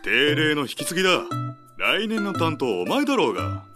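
A man's voice: on the high side, big pitch swings, moderate pace. A man playing a stern, rule-bound tiger officer in an audio drama, curt and businesslike. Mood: brisk, stern. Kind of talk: Japanese audio drama acting. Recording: music bed behind the voice.

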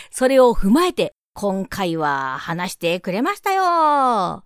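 A woman's voice: medium pitch, sing-song, slow, clean tone, no room sound, studio quality. A woman hosting a casual radio chat, mixing polite speech with playful teasing. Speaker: radio show host.

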